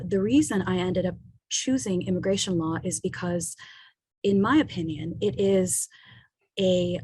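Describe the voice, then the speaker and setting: Casting: low-pitched, slightly husky woman, interview guest, relaxed talk full of ums and you-knows, dry close sound. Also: dry recording, rough recording. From United States. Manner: thoughtful, many fillers like um and you know. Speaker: immigration lawyer, guest.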